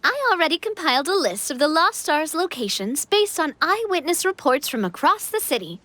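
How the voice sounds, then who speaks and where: A woman's voice: high voice, very animated, moderate pace, a bit bright, no room sound. A woman playing an upbeat, confident young female city leader in an anime game, with a playful, casual manner. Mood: explaining, upbeat. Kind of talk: anime game dub dialogue.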